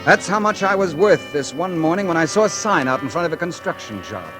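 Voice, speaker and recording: A man's voice: a little high, lively intonation, a little fast, close to an everyday voice. An actor playing a bitter, world-weary ex-convict who narrates his own story in 1940s radio acting style. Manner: wry, hard-bitten first-person narration. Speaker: narrator and lead character. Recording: old radio broadcast with music.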